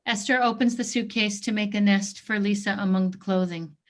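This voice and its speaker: A woman's voice: mid-range voice, steady tone, fairly quick. A woman reading stage directions as scripted narration for a play.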